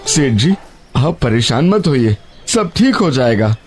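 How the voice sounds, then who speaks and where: An actor voicing a humble, honest poor labourer in an animated moral story, speaking in an earnest, deferential and emotive way. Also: male voice, medium pitch, a little slow, breathy edge, soft background music. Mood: earnest, pleading.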